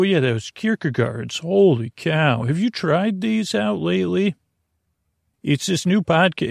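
Creaky-voiced man rambling through a bedtime podcast. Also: fairly high pitch, no room sound, clean studio sound. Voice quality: gravelly, creaky. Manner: meandering, halting, absent-minded, self-interrupting. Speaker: podcast host.

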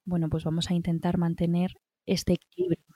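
A woman's voice: on the low side, flat delivery, fairly quick, mellow, no echo. A middle-aged woman with a Spanish accent from Spain, giving encouraging, reassuring self-care advice in conversation, often checking in with little tag questions. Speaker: wellbeing guest giving advice.

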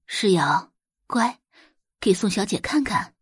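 A woman voicing a gentle, earnest middle-aged wealthy mother in an audio drama. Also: medium pitch, lively intonation, slow pace, breathy edge, no room sound, clean studio sound, heavily acted. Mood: coaxing. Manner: soft-spoken, earnest.

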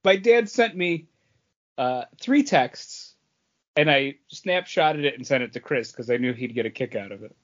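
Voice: medium pitch, animated, moderate pace, a bit bright, muffled recording. A podcast co-host speaking in casual, conversational chat.

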